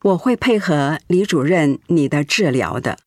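Tired middle-aged mother, stoic, devout, calm, dry studio sound, radio drama. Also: low voice, a little slow, no room sound. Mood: calm, trusting. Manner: calm, accepting. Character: weary, devout pastor's wife, stoic ailing mother. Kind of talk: Mandarin radio drama acting.

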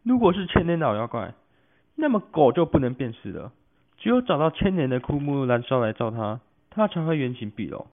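Shrewd, suspicious official played by a male amateur, declamatory, very animated pitch, phone-like radio drama sound. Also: fairly quick. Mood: knowing, certain.

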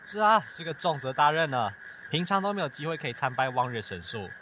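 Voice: mid-range voice, very animated, fairly quick, sharp tone, slight background noise. An actor playing a dutiful, devout young temple guard in an audio drama, chatty and earnest. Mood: chatty, reverent. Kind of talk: Mandarin audio drama acting.

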